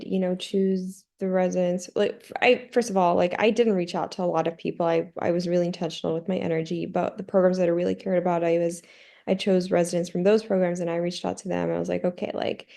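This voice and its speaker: A woman's voice: fairly low pitch, creaky, dark tone. A young woman, an emergency medicine resident on a video call, giving candid, emphatic advice to applicants on a webinar panel.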